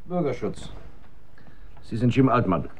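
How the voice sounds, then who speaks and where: A man's voice: medium pitch, big pitch swings, measured pace. A man in a German radio drama playing a cold, officious civil defence officer, curt and authoritative. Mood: stern, menacing.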